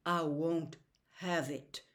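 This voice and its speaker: A woman's voice: medium pitch, takes it slowly, close to an everyday voice. A woman reading an audiobook, voicing a grave middle-aged male lawyer and father who speaks earnestly and grimly. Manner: earnest, grim, pleading.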